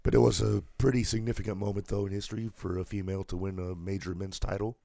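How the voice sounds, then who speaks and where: A man co-hosting a casual wrestling podcast, hoarse today, relaxed as he works through a list of dates. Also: on the low side, fast, no echo. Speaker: podcast co-host.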